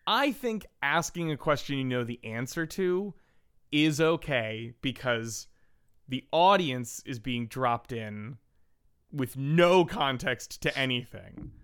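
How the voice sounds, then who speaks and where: A man's voice: mid-range voice, lively intonation, takes it slowly, a bit bright, clean tone. A man co-hosting a podcast in casual banter, with a playful, giggly, jokey manner. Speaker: podcast co-host. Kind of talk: casual banter between co-hosts.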